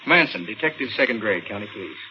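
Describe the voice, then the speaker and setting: An actor playing a skeptical, easygoing American police detective in a 1940s radio drama, casual and gently condescending. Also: male voice, fairly low pitch, expressive, fast, natural voice. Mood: businesslike. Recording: muffled, noisy old radio broadcast.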